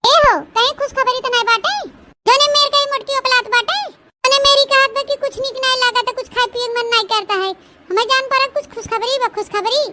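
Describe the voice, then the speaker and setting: A woman's voice: very high voice, fairly even intonation, a little fast. A woman with an Awadhi accent voicing a bossy, spiteful senior wife who scolds and taunts in a comic cartoon. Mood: eager. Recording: noisy, hissy voice track.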